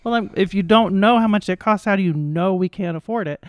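A woman's voice: medium pitch, fairly quick, dry recording, clean studio sound. A middle-aged American woman telling stories casually as a podcast guest, chatty and acting out bits of dialogue. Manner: chatty, acts out quoted dialogue. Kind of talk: casual conversational storytelling.

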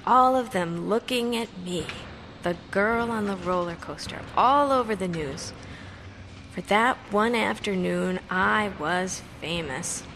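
A creaky-voiced young woman mourns her brother in an audio drama monologue. She rambles haltingly and emotionally, with a self-dramatizing streak and middling pitch. A faint noise sits behind her. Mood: dazed, bitter. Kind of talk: audio drama monologue, naturalistic confessional speech.

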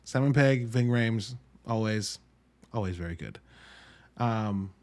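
A man hosting a podcast, giving an informal, wry solo review in an emphatic, halting way with drawn-out words. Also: lowish voice, a little mellow. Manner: informal, wry, mildly profane. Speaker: podcast host reviewing films. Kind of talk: casual solo review monologue.

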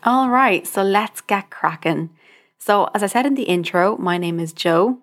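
A woman's voice: medium pitch, expressive, a little slow. A young adult woman with an Irish accent hosting a podcast, speaking informally and directly to the listener in a conversational monologue. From Ireland. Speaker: podcast host, dietitian.

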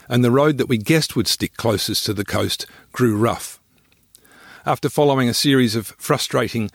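A man narrating his own scripted travel memoir in a measured, descriptive storytelling manner. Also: medium pitch, no room sound, clean studio sound.

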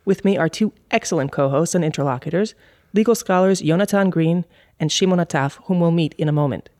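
A woman's voice: fairly low pitch, a little fast, a little mellow, no room sound, studio quality. A woman hosting a podcast, with a polished, articulate delivery. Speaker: podcast host. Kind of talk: scripted podcast intro and moderating.

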